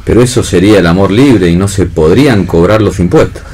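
Man acting an impatient, deep-voiced gentleman. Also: brisk, natural voice. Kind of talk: staged play reading for radio.

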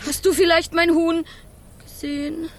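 A woman playing a fussy, plaintive hen keeper who is quick to scold, in a children's radio play. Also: very high-pitched, little pitch movement.

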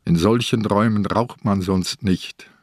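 An old, ailing police inspector in a radio play. His voice is low and closely recorded without room sound. He probes bluntly, with a dry, stubborn edge. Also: measured pace, darkish tone, dry recording. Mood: brooding, suspicious. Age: older. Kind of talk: radio drama acting.

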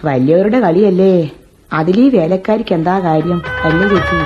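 Radio play: actress as a chatty, sharp-tongued maid, mellow tone, dull old broadcast sound. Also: female voice, fairly low pitch, normal speed, natural voice. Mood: gossipy. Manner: gossipy, wry, colloquial. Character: gossipy village housemaid Meenakshi, sharp-tongued. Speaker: radio drama actor. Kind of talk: 1980s Indian radio play acting. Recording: dull old radio recording with faint music.

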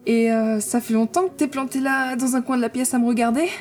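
A woman's voice: fairly high pitch, steady tone, fairly quick, lightly acted. A woman acting a blunt, slangy, sardonic heroine in a French-language audio drama. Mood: teasing, brisk. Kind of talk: audio drama acting and first-person narration. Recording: loud music bed underneath. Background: music.

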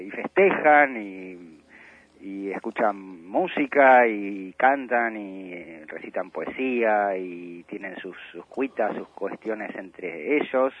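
A man's voice: on the low side, animated, slow pace, no room sound. A man with an Argentine accent explaining conversationally in a phone interview, searching for words and repeating himself. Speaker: theatre director, interview guest. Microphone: telephone. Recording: thin, narrow-band telephone line.

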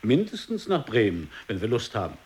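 An actor playing a squeamish, grumbling wanderer in absurdist radio play banter. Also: male voice, fairly low pitch, wildly swooping pitch, moderate pace, darkish tone.

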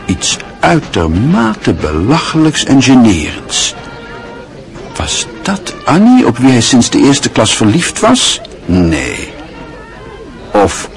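A man narrating a radio play in a measured, expressive storytelling manner. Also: on the low side.

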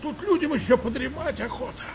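An actor playing a plain-spoken, grumbling wartime sailor in a radio play. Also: male voice, extremely high pitch, animated, fairly quick, creaky, slight background noise, exaggerated voice. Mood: irritated. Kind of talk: Russian radio play acting.

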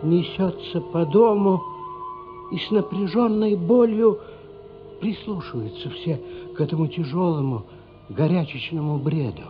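Male narrator for radio drama: fairly high voice, unhurried, dramatic literary delivery. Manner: expressive, dramatic, deliberate phrasing. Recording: dull lo-fi radio play, music underneath. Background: music.

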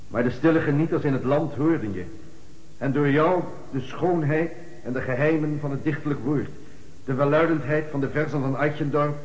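An actor playing a lofty male funeral orator in a radio play, declaiming a eulogy with measured pauses. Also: medium pitch, natural voice. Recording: echoey hall with long reverb.

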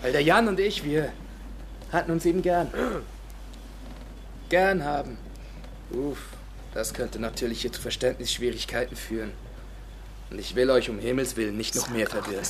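Radio drama role, male actor: defiant young village man, sarcastic and halting, noisy ambience behind. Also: mid-range voice, natural voice. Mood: bitter, sarcastic. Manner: sarcastic, halting, emphatic with abrupt swings.

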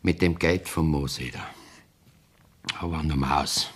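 An actor playing a hunted, defiant outlaw in a 1970s radio play, with a gruff, threatening manner and a Bavarian accent. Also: male voice, very deep voice, unhurried, full character voice. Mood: weary. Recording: noisy radio play recording.

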